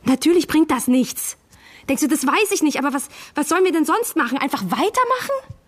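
An actress playing a hurt, exasperated wife in a radio drama, pressing for answers in an accusing, emotional, insistent way. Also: female voice, high voice, expressive, a little fast. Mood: hurt, pleading. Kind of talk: naturalistic radio drama acting.

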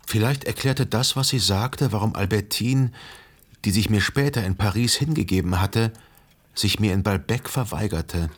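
An actor playing a brooding, melancholy man who recalls a dead lover in reflective, measured radio drama narration. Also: fairly low pitch, close to an everyday voice. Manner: reflective, measured, analytical monologue.

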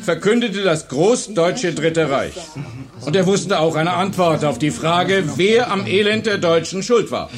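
An actor playing an earnest, patient history teacher in a radio drama, explaining emphatically to a class. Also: male voice, sits high, unhurried, over music. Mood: grave. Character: male high school history teacher, earnest, patient, engaged. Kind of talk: German radio drama acting.

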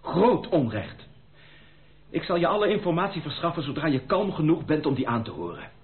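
Insistent gentleman suitor, swooping intonation. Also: fairly high pitch, very animated, fairly quick. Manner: formal, emphatic, dramatic. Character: proud gentleman suitor, insistent, earnest, stubborn. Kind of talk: Dutch radio play acting. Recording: thin narrow-band radio play recording.

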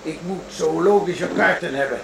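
Echoey radio play: kindly, confused older traveller, highish creaky voice. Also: male voice, normal speed. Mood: flustered. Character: muddled, kindly older Berlin train passenger. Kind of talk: radio drama dialogue. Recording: echoey, noisy old radio play recording.

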